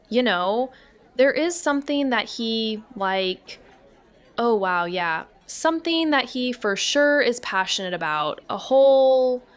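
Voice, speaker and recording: An actress playing an awkward, evasive young niece in a naturalistic audio drama, halting and flustered as she talks. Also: a little high. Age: young adult.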